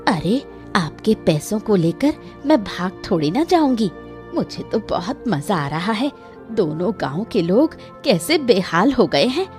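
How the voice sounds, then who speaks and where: A woman's voice: medium pitch, very animated, measured pace, a little mellow, faint music behind. Woman playing a spiteful old village woman, swooping delivery. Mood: gloating. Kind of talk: animated moral story dialogue.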